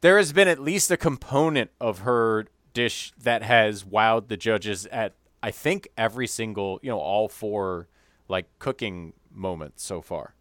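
A man co-hosting a podcast, chatting casually about a cooking show, animated and quick to laugh at his own jokes. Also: on the low side, dry recording. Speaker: podcast co-host. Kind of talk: casual chat.

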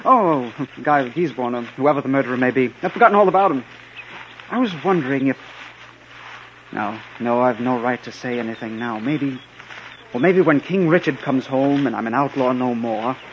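An actor playing a gallant, confident young outlaw with a courtly, bold manner in an old radio drama. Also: male voice, mid-range voice, animated, normal speed, a little hiss or hum, lightly acted. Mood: tender, courtly. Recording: muffled vintage radio recording.

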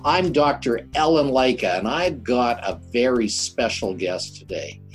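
An older man hosting a show, introducing and interviewing his guest with emphatic, deliberate phrasing. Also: medium pitch, lively intonation, faint music behind. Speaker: show host.

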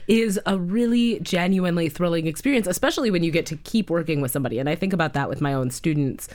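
Brief: woman host and teacher, very low voice, reflective probing questions. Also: fast talker, a little mellow. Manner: thoughtful, probing questions with fillers. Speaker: interviewer and writing teacher. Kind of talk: casual interview questions.

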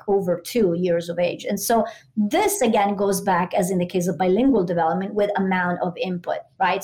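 A woman's voice: mid-range voice, darkish tone. A middle-aged woman, a native Italian speaker with an Italian accent, explaining things conversationally as an expert interview guest.

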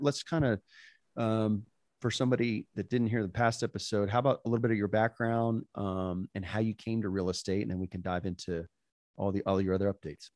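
Male podcast host, lowish pitch, dry close sound, easygoing chatty delivery with fillers. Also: no echo. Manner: relaxed, with frequent fillers and restarts. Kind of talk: casual interview chat.

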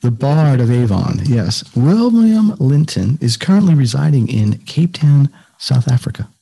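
Effusive older male podcast host, mellow, slightly husky. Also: medium pitch, sing-song, unhurried. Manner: effusive, repeats words for emphasis. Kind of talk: conversational podcast interviewing.

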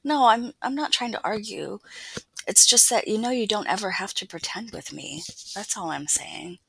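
A woman voicing a young man who gently presses a friend to open up, speaking softly. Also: mid-range voice, moderate pace, creaky, slightly rough, no room sound, full character voice, poor audio. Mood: gentle concern. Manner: soft, probing.

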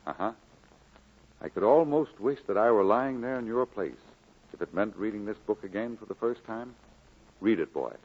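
An actor playing a kindly, earnest village preacher, exhorting in a measured 1940s radio style with a husky voice. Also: male voice, lowish voice. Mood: encouraging. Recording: narrow, phone-like old radio recording.

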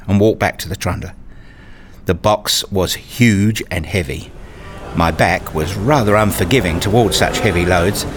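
A wry, anxious, nerdy dad played by a man telling his story in a radio play. His voice is on the low side, with dramatic, formal phrasing and noticeable noise behind it. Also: lightly acted. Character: self-deprecating, anxious, nerdy father narrating his day. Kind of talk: first-person radio play narration.

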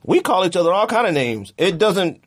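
A man's voice: high voice, sing-song, normal speed. A man hosting a podcast in a loose, colloquial, rambling way, full of conversational filler phrases. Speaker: podcast host. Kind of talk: casual podcast chat.

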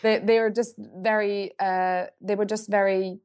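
A middle-aged woman speaking English, answering interview questions thoughtfully and often pausing to rephrase. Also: medium pitch, level tone, darkish tone, clean tone. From Norway. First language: Norwegian. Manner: thoughtful, pauses to search for words and rephrases. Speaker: agency CEO, guest.

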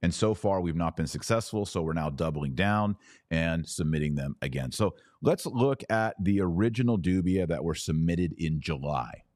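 A man's voice: sits low, lively intonation, normal speed, darkish tone, no room sound, clean studio sound. A man hosting a podcast, giving conversational religious commentary in an emphatic, colloquial, explanatory manner. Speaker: podcast host.

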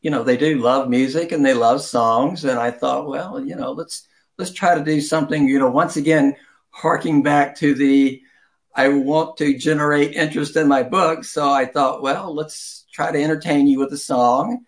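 A man's voice: a little high, fairly even intonation, moderate pace. An older man answering interview questions as a writer, in a rambling, self-deprecating, chuckling conversational way.

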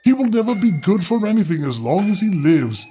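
A man's voice: high voice, big pitch swings, fairly quick, mellow, soft background music. A man voicing a smug, disdainful elder brother in a storybook, given to pompous sayings. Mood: scornful. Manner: disdainful, sententious.

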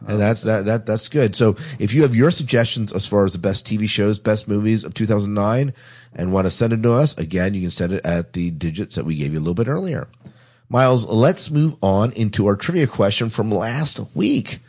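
Low-pitched man hosting a podcast. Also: lively intonation, a little fast, darkish tone, husky. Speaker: podcast host. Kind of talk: casual hosting chat with show announcements.

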